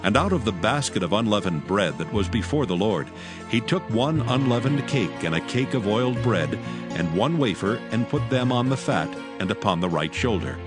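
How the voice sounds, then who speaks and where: A man narrating scripture in a steady, reverent, measured way for a dramatized audio Bible. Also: lowish voice. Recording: narration over clear music. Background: music.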